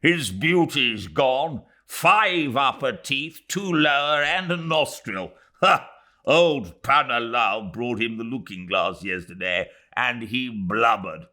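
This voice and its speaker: A man's voice: medium pitch, animated, slow, pitched above the performer's own voice. A man playing a blustering, brutal army major. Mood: brutal, gloating. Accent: British. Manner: loud, blustering, crude.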